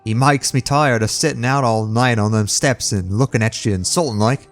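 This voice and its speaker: A man's voice: medium pitch, normal speed, soft background music, close to an everyday voice. A man voicing a nervous young bellboy with a Cockney accent, deferential and full of "sir". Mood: uneasy. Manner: deferential, keeps saying sir, rough grammar.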